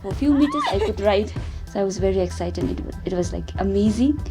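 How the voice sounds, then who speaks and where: A woman in her twenties speaks with a Bhutanese accent at a middling pitch. She talks casually as a novice in a documentary interview, and music plays clearly behind her. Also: animated, fast. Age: 20s. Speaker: novice rider.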